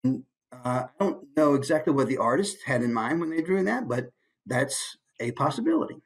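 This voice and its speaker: A man's voice: medium pitch, animated, moderate pace. An American man, a voice actor, giving an informal instructional talk and musing aloud through examples.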